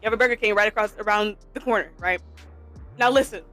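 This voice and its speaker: Fast-talking playful young woman. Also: medium pitch, fairly bright tone, soft background music. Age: 20s. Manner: playful, teasing, jokey. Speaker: podcast guest. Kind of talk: casual podcast chat.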